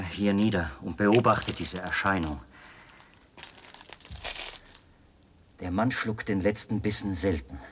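An actor playing a zealous, pedantic execution officer in a radio drama, explaining with precise, emphatic delivery. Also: male voice, on the low side, breathy edge. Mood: fervent explaining. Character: zealous male execution officer, pedantic, devoted to his machine.

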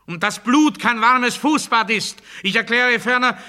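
An actor playing a coldly pedantic, grandiose prisoner in a literary radio play monologue, speaking with precise, officious declamation. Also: male voice, very high voice, a little slow, bright, forceful tone, full character voice. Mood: loud proclamation.

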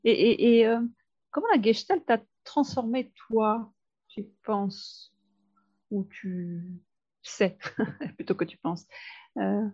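A woman's voice: medium pitch, fairly even intonation, slow pace, pauses often, darkish tone. A woman interviewing a guest, curious and rephrasing her questions as she goes. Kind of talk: conversational interview. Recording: dull lo-fi sound.

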